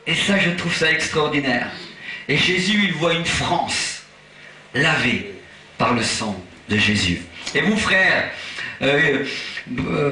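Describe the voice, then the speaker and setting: A man from Portugal, an evangelist preaching at a church conference, impassioned and rising to shouts with chuckling asides. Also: on the high side. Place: large church hall. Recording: echoey hall, voice from far off.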